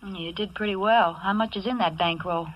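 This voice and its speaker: An actress playing a soft-hearted, wisecracking Broadway chorus girl in brassy, slangy 1940s radio style, on a vintage recording. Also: medium pitch, fairly even intonation, normal speed, muffled recording, lightly acted. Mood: softened, friendly. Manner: wry wisecracks in streetwise slang.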